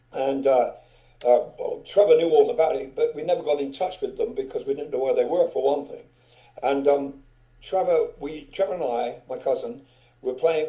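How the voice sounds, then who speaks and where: Older male guest, loud, rambling anecdotes, deep dark timbre, echoey room. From England. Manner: loud-voiced, rambling, many "you know" asides. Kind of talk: casual storytelling interview. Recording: roomy echo.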